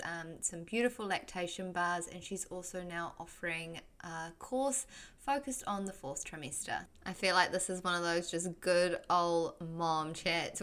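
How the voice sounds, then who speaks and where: A woman's voice: on the low side, a bit bright. A woman hosting a podcast, upbeat and chatty with frequent fillers. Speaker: podcast host. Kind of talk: casual podcast chat.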